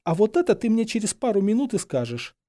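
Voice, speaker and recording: A man's voice: high-pitched, sing-song, fast, warm tone, pitched above the performer's own voice. A man voicing a distraught, bossy and anxious teenage witch girl in an audiobook, whimpering and exclaiming. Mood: angry, urgent. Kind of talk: dramatised fan fiction audiobook.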